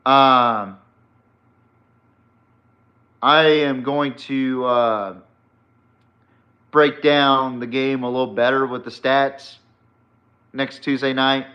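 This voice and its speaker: A man with a mid-pitched voice talks like a sports podcast host sharing strong opinions. His delivery is casual but emphatic and blunt, with free swearing. The recording sounds dull and lo-fi. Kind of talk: casual, opinionated solo football commentary.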